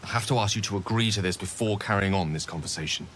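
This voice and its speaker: An actor playing a courteous, learned wizard with a grave secret, speaking in formal, wordy video game dialogue. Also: male voice, lowish voice, fast, fairly bright tone, lightly acted. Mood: grave, earnest. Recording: music and rain behind the voice. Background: music, rain.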